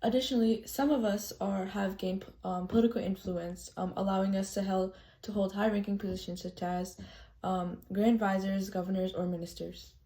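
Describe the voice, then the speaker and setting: A young woman giving a scripted history presentation in character as a tour guide, polite and a little halting. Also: medium pitch, fairly even intonation, mellow. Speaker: student presenter playing a loyal Janissary tour guide.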